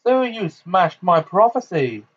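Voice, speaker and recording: Male narrator as a pitiless villain wizard, high, cold, soft, controlled menace, storybook, muffled sound. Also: big pitch swings, slow pace, slightly rough, heavily acted. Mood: cold, menacing.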